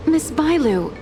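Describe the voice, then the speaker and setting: A woman's voice: high-pitched, exaggerated voice. A woman voicing a polite, anxious attendant in a video game, with a proper, deferential manner.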